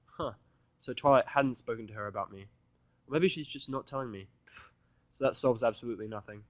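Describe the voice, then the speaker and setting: A man reading first-person fan fiction as an audiodrama in a sardonic, grumbling, deadpan manner. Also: medium pitch, brisk, no echo. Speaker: audiodrama reader.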